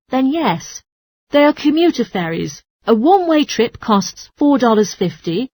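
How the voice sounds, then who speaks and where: A woman's voice: mid-range voice, a little mellow, no room sound. A woman playing a polite, helpful transport information clerk who explains things in a scripted exercise dialogue. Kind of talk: scripted listening-test dialogue.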